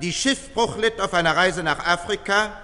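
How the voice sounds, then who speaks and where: A man's voice: sits high, moderate pace. A man delivering dramatic scripted narration for a radio piece. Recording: long reverb under loud music.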